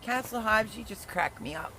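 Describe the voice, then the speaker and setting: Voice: mid-range voice, lively intonation, moderate pace, gravelly, background noise. Chatty gardening livestream host. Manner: chatty and teasing, reads viewer comments aloud. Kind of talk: casual livestream chat, answering viewer comments.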